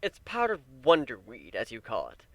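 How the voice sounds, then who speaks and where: A man voicing a gentle, wry male pegasus pony, a devoted husband, speaking softly and soothingly with light teasing in a story reading. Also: mid-range voice, huge pitch swings, normal speed, no echo. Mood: wry, amused.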